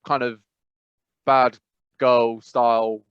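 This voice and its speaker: A man's voice: mid-range voice, level tone, slow, smooth, no room sound, lo-fi sound. A man co-hosting a podcast in casual chat, rambling and often correcting himself mid-sentence. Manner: rambling, self-correcting, frequent "I must be honest". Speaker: podcast co-host.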